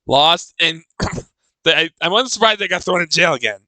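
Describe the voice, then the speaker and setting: Man, reaction host, casual, halting and repetitive, fairly high pitch, very bright tone. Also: expressive, dry recording. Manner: halting, repeats and restarts phrases. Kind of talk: casual live reaction commentary on a comic dub.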